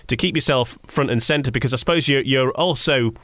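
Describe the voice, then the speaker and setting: A man's voice: medium pitch, brisk, a bit bright, no room sound. A man hosting a conversational radio interview, wording things in a rambling way and repeating words as he goes.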